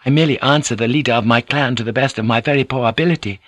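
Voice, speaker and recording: A man's voice: medium pitch, rapid-fire, dry recording, pitched above the performer's own voice, lightly acted. A man voicing a young noblewoman who speaks politely, earnestly and deferentially. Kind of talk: audiobook character voice.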